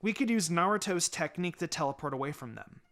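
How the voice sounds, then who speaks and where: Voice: medium pitch, animated, fast talker, a bit bright. A narrator voicing a careful, thoughtful twelve-year-old ninja girl, with music behind. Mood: cautious.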